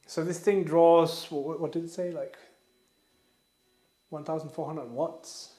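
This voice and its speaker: A man's voice: a little high, steady tone, a little slow, mellow. A man from Denmark chatting casually and rambling, swearing now and then, as he cooks on a livestream in his home kitchen. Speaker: livestreamer cooking. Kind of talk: casual chat while cooking. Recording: echoey room with faint noise.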